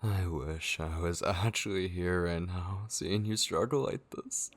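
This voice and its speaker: Man playing an obsessive male kidnapper, deep voice, breathy and whispery, slow lingering phrases, menacingly tender, horror ASMR roleplay. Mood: taunting, hushed. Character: obsessive, possessive male kidnapper, unhinged, taunting and devoted.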